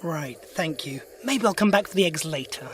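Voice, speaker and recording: An actor playing a sharp, principled alien adventurer in an audio drama, brisk and inquisitive. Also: male voice, fairly high pitch, lively intonation, a little hiss or hum. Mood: pointed questioning. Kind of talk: full-cast audio drama acting.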